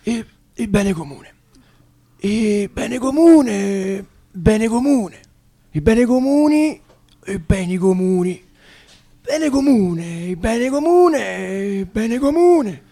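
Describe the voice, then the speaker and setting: A man's voice: high-pitched, lively intonation, dark tone, exaggerated voice. A man playing a bumbling male professor who mumbles and repeats one phrase over and over. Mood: droning. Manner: mumbling, repetitive, slow. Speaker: guest expert in a comic bit.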